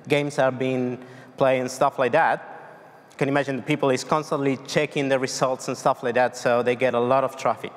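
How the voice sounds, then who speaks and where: A man from Argentina in his thirties with a Spanish accent, giving a tech conference talk with many fillers and restarts. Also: medium pitch. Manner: loose, hesitant delivery with many fillers and restarts. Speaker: conference speaker. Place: large dark conference hall on stage. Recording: long hall reverb.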